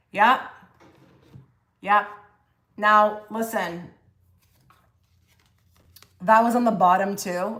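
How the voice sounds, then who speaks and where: A woman's voice: mid-range voice, fairly bright tone. A woman hosting a casual tarot-reading livestream, talking chattily with many fillers and restarts while she reads cards and answers the chat. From half Egyptian. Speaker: tarot reader livestream host.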